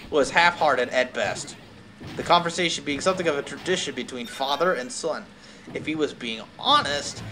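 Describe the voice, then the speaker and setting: A man with a fairly high, lively voice reads a story aloud as a streamer. He keeps breaking off for wry, casual remarks, and there is faint noise behind him. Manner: wry, self-interrupting. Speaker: streamer, host. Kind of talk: reading fan fiction aloud with casual asides.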